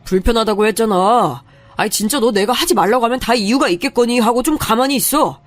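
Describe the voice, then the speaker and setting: An actor playing a young husband in a radio story drama, clipped and dismissive, with faint music behind. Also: male voice, very high voice, fast. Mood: irritated. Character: young husband, curt and defensive. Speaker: husband character.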